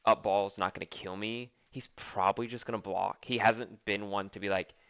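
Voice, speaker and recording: A man's voice: on the low side, steady tone, moderate pace, gravelly, a bit bright, no room sound, muffled recording. A young man casually commenting on replays of his own fighting game match, thinking aloud with lots of ums and likes.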